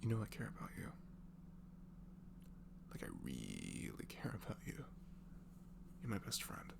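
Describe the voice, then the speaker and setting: A man speaking softly and breathily in an improvised, comforting ASMR voice message, rambling affectionately and informally as if to a close friend. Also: fairly low pitch, huge pitch swings, measured pace, vocal fry. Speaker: amateur ASMR audio creator playing a supportive friend.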